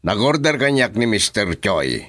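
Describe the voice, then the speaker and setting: Slow, low Filipino crime boss. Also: male voice, very animated, a bit bright, no room sound, studio quality. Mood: smooth, businesslike. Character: cool, domineering male crime boss arranging shipments. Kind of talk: Ilocano radio drama acting.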